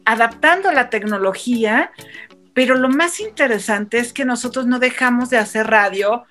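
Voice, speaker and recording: A woman, a radio broadcaster telling stories in a casual panel chat, animated and full of quick restarts. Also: fairly high pitch, faint music behind.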